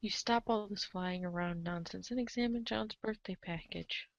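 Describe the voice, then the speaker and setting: Creaky-voiced young woman narrating a story. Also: mid-range voice, normal speed, no room sound, dull audio. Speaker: narrator of a reader's theater show. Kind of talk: dramatic second-person story narration.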